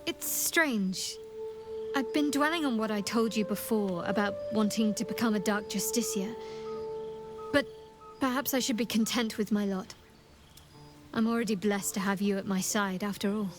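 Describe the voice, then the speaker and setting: A woman playing a guarded, wry young adventurer in a video game, speaking in a dry, measured way. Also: mid-range voice, over music, close to an everyday voice. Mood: wry, tender.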